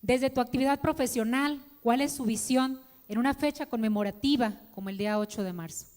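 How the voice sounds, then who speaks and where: A woman's voice: fairly high pitch, brisk, a little mellow. A woman moderating a panel, presenting formally to introduce speakers. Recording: reverberant hall sound.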